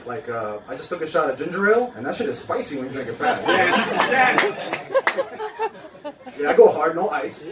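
A man's voice: fairly high pitch, very animated, normal speed, long pauses, over a noisy crowd. A young man from Queens doing a stand-up comedy set, dry and self-mocking with lots of ums and uhs. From Queens, New York. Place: small open-mic café stage, indoors. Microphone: handheld mic, filmed from audience. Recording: roomy, distant phone recording with noise. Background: audience laughter and room noise.

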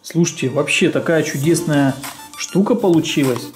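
A woman's voice: deep voice, normal speed, a little mellow. A young woman chatting playfully to young viewers, exclaiming and repeating words for emphasis. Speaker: mother presenting a children's toy video. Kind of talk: playful chat to young viewers during toy play. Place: indoors in a room at home. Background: music.

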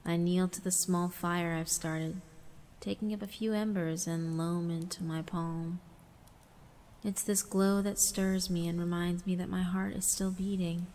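Slow, low-voiced woman narrating in long reverb. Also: flat delivery, a little mellow. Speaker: visual novel narrator. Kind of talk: dramatic first-person scripted narration. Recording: long reverb, music underneath. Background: background music.

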